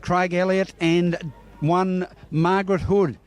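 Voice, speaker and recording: A man's voice: high-pitched, steady tone, slow pace, darkish tone, clear, resonant tone. Australian man interviewing at a bowls match. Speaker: sports reporter. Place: outdoor bowling green.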